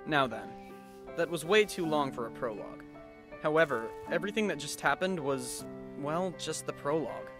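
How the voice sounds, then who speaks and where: A man playing a sardonic, weary male high school student who narrates and talks dryly in an anime fan dub. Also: expressive, moderate pace, over music. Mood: ominous, wry. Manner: dry, wry, long-suffering.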